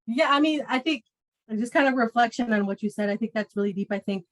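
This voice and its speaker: A woman's voice: on the high side, quick pace. An American woman co-hosting a virtual book event as a bookseller, reflective and hedging her questions with many fillers. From United States. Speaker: bookseller co-host.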